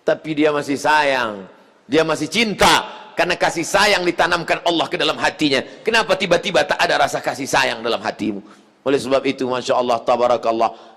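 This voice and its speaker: A male preacher giving a mosque sermon, swinging from quiet asides to loud shouted appeals. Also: fairly high pitch, gravelly, in a large hall.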